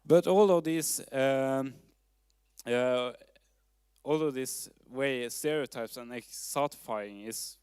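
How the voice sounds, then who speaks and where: A man with a Norwegian accent gives a presentation, his voice sitting at a medium pitch. The tone is smooth and resonant, recorded close and dry. He speaks in a measured way, with fillers and restarts along the way. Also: darkish tone, no echo. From Norway. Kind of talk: presentation talk. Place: town hall forum.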